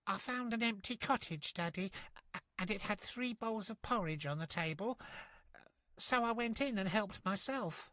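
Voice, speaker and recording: A man's voice: high voice, moderate pace, pushed and bright, dry recording, pitched above the performer's own voice. A man voicing a polite, earnest little girl in a fairy tale, exclaiming and hesitating childishly. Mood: sheepish. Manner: exclamatory, hesitant when explaining.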